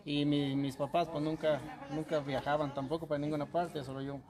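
An older man from the Durango region of Mexico, chatting outdoors in a soft-spoken, modest and reflective way. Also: on the high side, little pitch movement, a little fast. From Chalchihuites, Mexico; raised in Durango. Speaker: visiting emigrant. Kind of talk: casual street conversation. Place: outdoors on a street.

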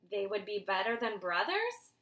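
A woman's voice: medium pitch, very animated, moderate pace. A woman voicing a small, curious, timid owlet boy in a storybook read-aloud. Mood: wondering. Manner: quiet, wondering.

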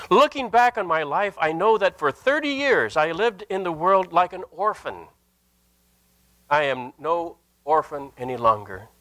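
An older man, a Lutheran pastor, preaching a sermon in an earnest, emphatic manner with deliberate pauses and repeated phrases. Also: on the high side, a bit bright. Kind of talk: sermon with scripture and anecdote. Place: indoor church sanctuary at a lectern.

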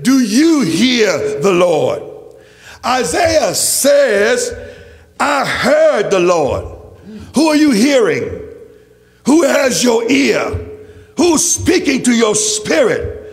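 A male preacher delivers an impassioned sermon in a very high, gravel-edged voice. He declaims emphatically and builds on repeated phrases. Long reverb of a large hall trails his words. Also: very animated, slow. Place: large church. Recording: reverberant hall, faint music.

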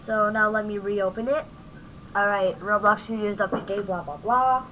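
A woman giving a casual, off-the-cuff software tutorial, thinking aloud as she demonstrates on a home microphone recording. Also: medium pitch, normal speed, a little hiss or hum, low-quality recording. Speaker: tutorial host.

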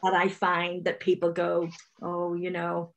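Northern Irish woman, 40s, video call panellist; open stammer with repeats and blocks, unhurried, self-assured. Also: on the low side, monotone, creaky, rough recording. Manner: confident, direct. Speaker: webinar panellist, finance manager. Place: home room, indoors. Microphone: video call webcam.

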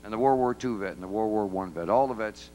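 A middle-aged country singer chatting on a large theater stage between songs, a folksy, rambling storyteller with quick chuckles, speaking into a stand mic. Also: male voice, on the low side, dark tone. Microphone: stand mic, guitar slung. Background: live band music.

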